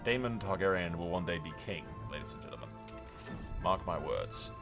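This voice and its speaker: A man's voice: low voice, sing-song, moderate pace, gravelly, over music. A man hosting gameplay commentary with roleplay, sweary and emphatic, rambling and given to theatrical declarations. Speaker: gaming content creator and host.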